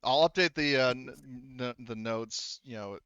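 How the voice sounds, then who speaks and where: A man with a clean, resonant voice at a middle pitch debriefs a tabletop session as game master. He is informal and rambling, with casual swearing. The audio is close and dry but a little muffled. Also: measured pace, a bit bright, clear, resonant tone, no echo.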